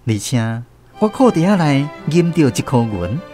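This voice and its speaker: Animated Taiwanese storyteller, higher-pitched man. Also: moderate pace. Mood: wry recounting. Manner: animated first-person storytelling. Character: grown man fondly recounting his small-boy adventures. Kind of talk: scripted radio drama narration. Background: plucked guitar music.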